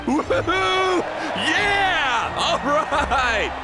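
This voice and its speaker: Exuberant male ceremony announcer for a game, slow delivery, loud music behind. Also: very high voice, very animated, full character voice. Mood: jubilant, ceremonial. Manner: slow, proclaiming. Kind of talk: English video game voice acting. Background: music, cheering crowd.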